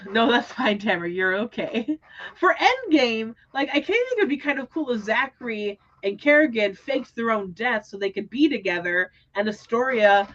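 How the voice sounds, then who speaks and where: A woman hosting a casual book discussion livestream, talking in an animated, expressive way. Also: fairly high pitch, normal speed, highs cut off. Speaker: host of book readalong livestream.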